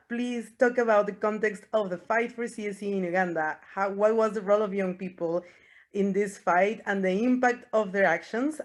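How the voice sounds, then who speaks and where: A woman moderating a panel, earnest, asking a run of rhetorical questions. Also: mid-range voice.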